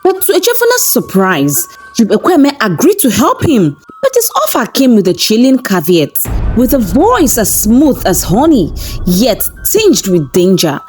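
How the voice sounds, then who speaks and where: A woman narrating a scripted folktale in a dramatic, expressive storytelling manner. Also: medium pitch, breathy edge. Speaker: storyteller, channel host. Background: background music.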